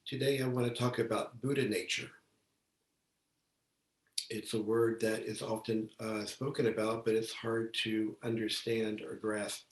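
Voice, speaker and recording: A man delivers a Buddhist talk at a very unhurried pace, pausing often as he chooses his words. His pitch is mid-range, and he sounds set back from the microphone in a reverberant room, as on a video call. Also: flat delivery. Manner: halting, deliberate delivery with long pauses. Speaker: Zen Buddhist teacher giving a talk. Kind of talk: measured dharma talk. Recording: roomy, echoey, distant microphone on video call.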